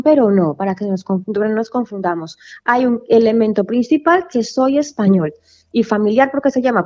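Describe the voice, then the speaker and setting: Woman immigration lawyer, walks through the steps patiently with asides; warm and slightly high, on a muffled line. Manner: explanatory, with many asides and self-corrections. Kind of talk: explaining legal steps in a radio interview. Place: office in Valencia, Spain.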